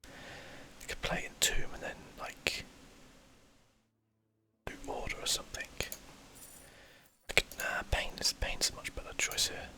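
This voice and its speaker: A man whispers breathy, unvoiced ASMR game commentary, musing to himself as he thinks aloud. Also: unhurried, long pauses, bright, forceful tone. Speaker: gameplay streamer, host.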